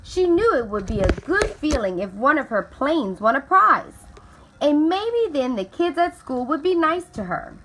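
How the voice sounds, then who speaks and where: A woman's voice: high voice, big pitch swings, unhurried, fairly bright tone, slight background noise, rough recording. A woman reading a children's picture book aloud expressively, drawing out key words for dramatic effect. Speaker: storybook reader.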